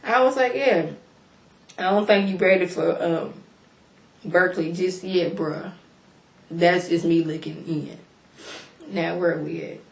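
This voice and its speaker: A woman casually recapping a reality show episode for her viewers in a chatty, slangy way, a little hoarse from a cold. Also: on the low side, a little slow, long pauses. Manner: chatty, animated, slangy, addresses viewers directly. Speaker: YouTube reality TV reviewer. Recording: echoey room.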